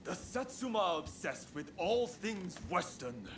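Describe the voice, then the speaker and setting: An actor playing an impassioned, declamatory samurai rebel in a dramatic video game cutscene. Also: male voice, high voice, very animated, a little slow, fairly bright tone, faint music behind, full character voice. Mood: stern. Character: impassioned samurai rebel man rallying his country.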